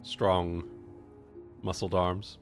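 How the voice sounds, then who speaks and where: Male tabletop player, lowish voice, unhurried, laid-back, wry short remarks, casual banter. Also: level tone, faint music behind. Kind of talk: casual banter while gaming.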